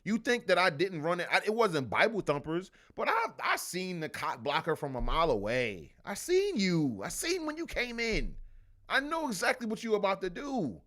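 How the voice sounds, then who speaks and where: A male podcast host in loose, profane chatter, his voice sitting slightly high. He tells stories with big, animated swings in pitch and plenty of energy. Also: normal speed. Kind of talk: casual banter.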